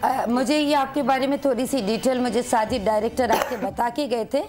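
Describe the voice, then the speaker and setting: A woman's voice: a little high, fairly even intonation, normal speed, over music. A woman, a doctor on a call-in show, giving callers long, rambling explanations. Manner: chatty, explanatory, rambling, mixing in English words. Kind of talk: advice to callers in a TV studio.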